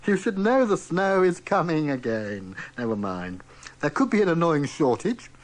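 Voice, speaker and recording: A woman's voice: sits low, very animated, normal speed. A woman giving a chatty, sympathetic radio horoscope in a giggly way. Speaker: radio astrologer reading horoscopes.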